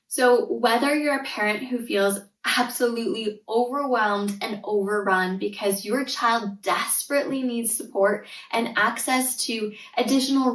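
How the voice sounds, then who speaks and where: A Canadian woman in her thirties with a somewhat high voice talks earnestly and reassuringly, with emphatic stress. She sits a bit back from the mic, and the room gives her voice a noticeable echo. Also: far from the mic. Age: 30s. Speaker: podcast host, tutor and coach. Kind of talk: scripted solo podcast intro.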